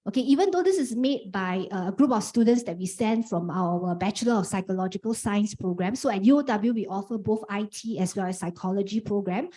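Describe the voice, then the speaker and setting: A woman's voice: mid-range voice, fairly quick, few pauses, mellow. A woman presenting a programme briefing, explaining step by step with many "okay" fillers. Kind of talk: information briefing.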